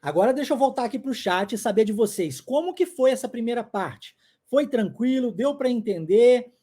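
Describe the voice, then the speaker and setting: A man's voice: extremely high pitch, lively intonation, normal speed, mellow. A middle-aged man who grew up in the United States and whose first language is English, teaching an online lesson live and checking in often with viewers.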